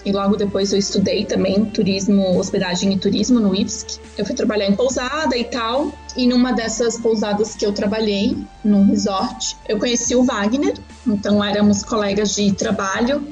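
A woman in her thirties, from Argentina and raised in southern Brazil, talks in an easy, unhurried way as a podcast guest. She tells her story at a relaxed pace, with plenty of fillers and small restarts. The recording sounds a little dull and lo-fi. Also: medium pitch, soft background music. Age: 30s. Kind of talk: casual interview chat.

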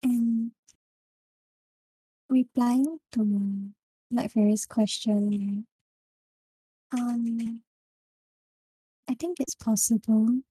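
A young woman with a Singaporean English accent, casually discussing a book with friends and often pausing to find her words. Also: fairly high pitch, fairly even intonation, dark tone, husky, rough recording. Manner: halting, searching for words. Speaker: book club member.

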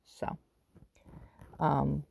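A woman in her fifties from Northern California, raspy from smoke, talking about her life in a loose, rambling monologue full of ums and restarts. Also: extremely slow, darkish tone. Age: 50s. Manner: rambling, with frequent ums and self-corrections. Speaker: podcast host. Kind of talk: casual personal monologue.

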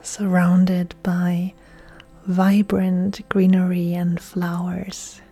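A woman yoga instructor leading a guided relaxation in a soft, breathy, soothing, hushed voice that often nears a whisper. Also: fairly low pitch, completely flat delivery, very slow, soft background music.

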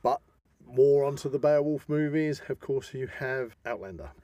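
A man's voice: medium pitch, moderate pace, warm tone, dry recording. A man co-hosting a podcast, chatting casually about films like an enthusiastic fan, often trailing into half-finished sentences. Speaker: podcast co-host. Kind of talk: casual film discussion.

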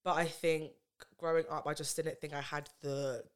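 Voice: medium pitch, little pitch movement, moderate pace, clear, resonant tone. A young adult guest writer talking casually in a podcast chat, conversational and full of fillers. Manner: conversational, chatty with many fillers.

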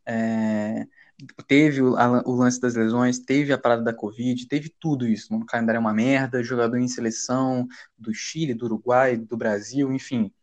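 A man from Brazil hosting a casual football podcast, talking in heavy slang with frequent swearing and rising to loud, agitated rants. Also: medium pitch, steady tone, normal speed, muffled recording. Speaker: podcast host. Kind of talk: heated casual football chat.

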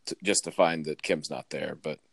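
A man's voice: rumbling low, fairly even intonation, normal speed, vocal fry, no room sound. A man appearing as a podcast guest, rambling casually and filling his speech with "like". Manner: rambling, lots of "like".

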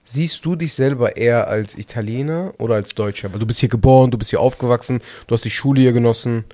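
A man's voice: mid-range voice, fast talker, mellow, dry recording. Young man firing pointed questions. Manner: probing, asks pointed questions, teasing. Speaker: podcast co-host. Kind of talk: casual podcast debate.

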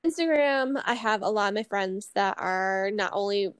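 A woman's voice: mid-range voice, normal speed, clean tone, dry recording, studio quality. Chatty, rambling woman in her early thirties. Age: early 30s. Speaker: podcast co-host. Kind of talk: casual personal chat.

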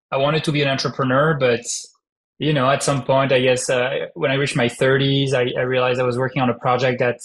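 A man in his thirties from France with a heavy French accent, a startup founder speaking in an interview, hesitant with many fillers. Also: mid-range voice. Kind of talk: casual interview talk.

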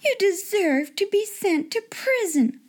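A woman's voice: extremely high pitch, lively intonation, a little slow, pitched above the performer's own voice. Woman doing a harsh scolding headmistress. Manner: scolding, clipped. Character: stern angry woman headmistress.

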